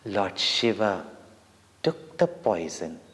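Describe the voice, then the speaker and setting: Slow-speaking Indian man in a reverberant hall. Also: on the low side, very animated, a bit bright. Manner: deliberate, dramatic, with short pauses between phrases. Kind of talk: devotional storytelling for children. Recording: long reverberant hall echo.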